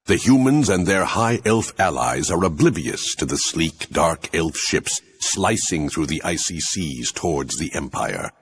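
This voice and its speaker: An ominous male storyteller narrates a dark fantasy game. His voice is exceptionally deep, with a heavy creak and rough husk. The delivery is grim, dramatic and scripted. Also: heavily acted. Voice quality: gravelly, rough.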